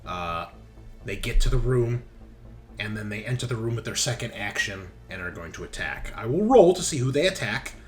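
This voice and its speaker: A man's voice: on the low side, lively intonation, moderate pace. A man running a tabletop game as game master, casually narrating and thinking aloud with informal, sweary phrasing. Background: game background music.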